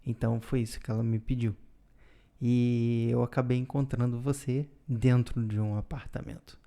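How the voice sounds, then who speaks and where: Calm man with a mellow voice. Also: mid-range voice, moderate pace, vocal fry. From Brazil. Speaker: podcast co-host. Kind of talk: casual conversational storytelling.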